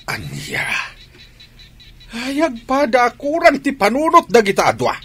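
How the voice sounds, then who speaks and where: A man playing a gruff, short-tempered, scornful father in an Ilocano radio drama. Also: very high-pitched, huge pitch swings, measured pace, a bit bright, heavily acted. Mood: indignant.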